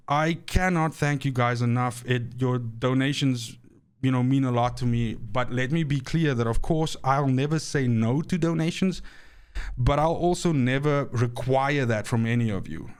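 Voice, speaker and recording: A man from Namibia with a South African accent talks straight to his viewers in a loose, rambling way. His voice is smooth and clear at a mid pitch, and he often stumbles over repeated words. He sounds earnest and thankful. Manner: grateful, earnest. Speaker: gaming channel host. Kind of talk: casual talk to camera.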